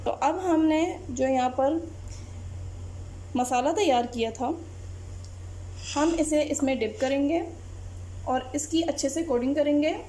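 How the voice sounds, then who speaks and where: A woman, narrating a home cooking recipe step by step in a calm voice-over as channel host. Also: sits high, measured pace, long pauses, a little hiss or hum, low-quality recording.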